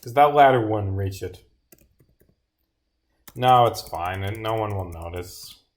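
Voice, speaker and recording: A man's voice: fairly low pitch, lively intonation, slow, darkish tone. A man livestreaming as a game developer, reading his own script aloud theatrically and dropping into muttered asides. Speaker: streamer and visual novel developer.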